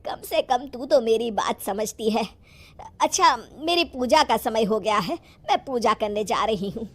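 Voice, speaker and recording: A high-voiced, domineering old grandma acted by a woman from a moral storybook tale. She speaks with heavy emphasis and exclamations, like someone used to ordering the household around. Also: expressive, a little slow. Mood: scolding. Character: grumpy, scolding old grandmother who dotes on her grandson.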